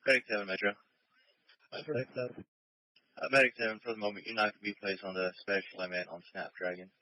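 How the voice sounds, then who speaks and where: A man dispatching briskly over a two-way radio. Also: on the low side, steady tone, no room sound, highs cut off. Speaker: emergency dispatcher. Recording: dry scanner radio.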